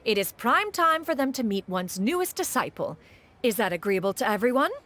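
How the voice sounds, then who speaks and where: A woman's voice: fairly high pitch, very animated, brisk, fairly bright tone. A woman voicing an ancient crane spirit in the form of a stately old woman, speaking in a formal, archaic, haughty way.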